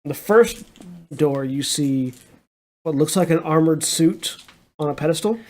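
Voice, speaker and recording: A man running a tabletop game as game master, who describes scenes haltingly and mouths sound effects. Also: a little high, darkish tone.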